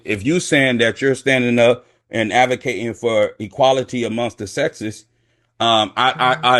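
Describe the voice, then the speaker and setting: A man's voice: mid-range voice, a bit bright. A man on a live panel, arguing firmly and restating his earlier points. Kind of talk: casual live-stream debate.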